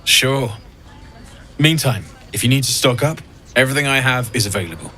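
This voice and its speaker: An actor playing a friendly, plain-spoken refugee blacksmith in a fantasy game, earnest and direct. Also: male voice, mid-range voice, lively intonation, normal speed, bright, forceful tone. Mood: businesslike.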